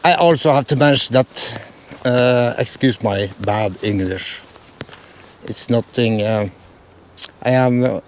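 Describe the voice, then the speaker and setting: A man's voice: mid-range voice, takes it slowly, pauses often, slight background noise. Norwegian-accented man, hesitant vlogger. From Norway. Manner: hesitant, many fillers and restarts. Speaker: photography vlogger. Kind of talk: casual explanatory vlog.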